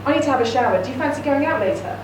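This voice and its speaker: A woman's voice: sits high, expressive, rapid-fire, voice pushed up. A woman voicing a Hong Kong Chinese woman, an old friend, who speaks casually and hesitates at times. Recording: echoey room, distant mic, noisy.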